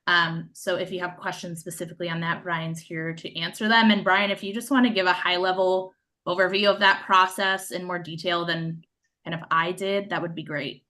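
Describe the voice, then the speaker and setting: A woman with a somewhat low but bright, forceful voice, giving a project update at a public meeting. She talks conversationally, dropping in frequent ums as she goes. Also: steady tone, moderate pace. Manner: conversational, many um fillers. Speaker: city staff presenter at a public meeting. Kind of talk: meeting presentation.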